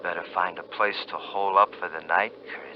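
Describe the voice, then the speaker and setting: An actor playing the eerie inner voice of a man's caution, speaking slowly in a taunting, half-whispered way, in a 1950s radio drama. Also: deep voice, steady tone, background noise, close to an everyday voice. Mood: menacing. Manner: slow, insinuating, half-whispered. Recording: muffled vintage radio recording.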